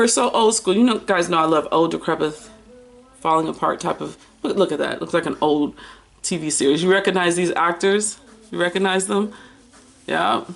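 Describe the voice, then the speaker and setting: Bright-voiced American woman, casual and expressive. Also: on the low side, vocal fry. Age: early 50s. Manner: expressive, slangy, playful, rambling. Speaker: book vlogger. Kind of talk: casual chatty book haul and review.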